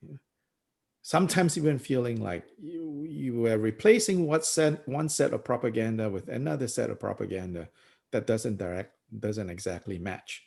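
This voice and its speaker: A middle-aged man from Malaysia, a Buddhist teacher talking through ideas in a thoughtful, unhurried way, with many pauses, "you know"s and frequent chuckles. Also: mid-range voice, creaky, a little mellow. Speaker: Buddhist teacher leading a book study. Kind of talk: reflective discussion talk.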